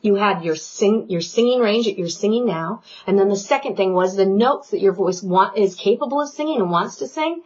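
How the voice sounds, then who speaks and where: A woman vocal coach giving an online singing lesson, talking in a loud, animated and playful way. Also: medium pitch, normal speed, few pauses. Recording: dull lo-fi sound.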